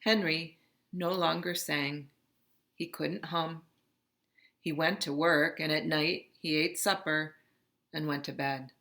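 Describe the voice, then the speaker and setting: Bright-voiced woman reading a storybook slowly. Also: sits low, vocal fry. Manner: expressive, dramatic reading. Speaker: storybook reader. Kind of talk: read-aloud narration of a children's picture book.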